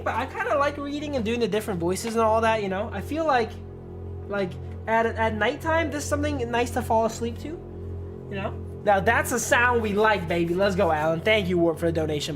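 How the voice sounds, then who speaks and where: A man's voice: high voice, a little fast. Male streamer reading aloud over music. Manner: slangy, stumbles over long words. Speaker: livestreamer reading a visual novel aloud. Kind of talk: narrating game text, casual chat with viewers.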